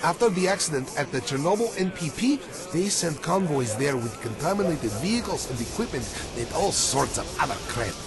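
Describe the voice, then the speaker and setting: Gruff post-apocalyptic camp repairman for a game, colloquial and griping, very lively intonation, music underneath. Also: on the high side, brisk, few pauses. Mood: chatty, wry. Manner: colloquial, swearing, grumbling. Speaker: mechanic character. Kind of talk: video game character dialogue. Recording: music behind the voice.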